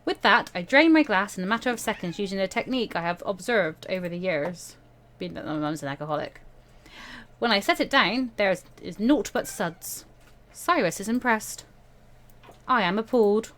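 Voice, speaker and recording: A woman's voice: mid-range voice, lively intonation, normal speed, fairly bright tone. A woman hosting a game playthrough, reading a visual novel aloud expressively with casual commentary.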